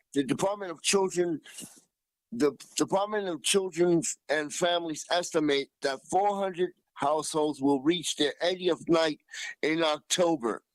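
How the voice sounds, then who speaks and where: A man co-hosting a news show, reporting in a conversational, halting and deliberate way, repeating himself as he reads out figures. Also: fairly high pitch, no room sound, low-quality recording. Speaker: radio news co-host.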